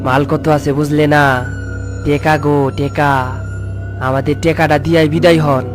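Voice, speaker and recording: Man playing a menacing, even-toned bandit chief. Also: on the high side, unhurried, music in the background, close to an everyday voice. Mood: mocking menace. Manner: threatening, taunting. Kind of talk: cartoon horror voice acting.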